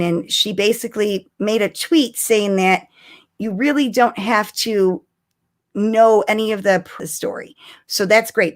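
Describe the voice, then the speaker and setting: A woman co-hosting a casual live-streamed chat about fandom news, talking in an animated, chatty way with exclamations. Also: medium pitch. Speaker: podcast co-host.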